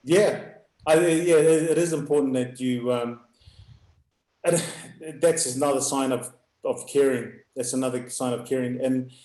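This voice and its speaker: A middle-aged man with a loud, booming voice, a youth rugby coach talking in halting bursts with frequent laughs. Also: fairly even intonation. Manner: halting, restarts, laughs often. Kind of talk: live video call chat. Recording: echoey room.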